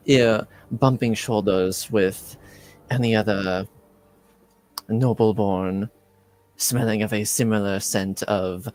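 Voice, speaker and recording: A man playing a courteous, discreet perfume shopkeeper with polite, measured, formal phrasing in a tabletop roleplaying game. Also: lowish voice.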